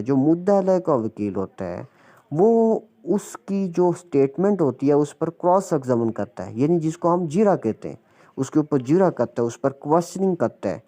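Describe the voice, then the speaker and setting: A man with a Pakistani accent giving an explanatory law lecture, slowing down when he reads out legal text. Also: medium pitch, dark tone. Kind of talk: explanatory lecture mixing Urdu and English.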